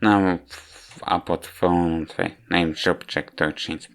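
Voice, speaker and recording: A man giving a rambling, halting spoken review of a visual novel in non-native English. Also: low-pitched, steady tone, creaky. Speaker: video game reviewer. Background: background music.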